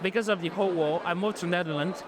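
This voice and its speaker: A man with a fairly high voice, speaking in a measured, thoughtful way. Background noise is clearly audible. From Nigeria. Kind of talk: casual interview answers.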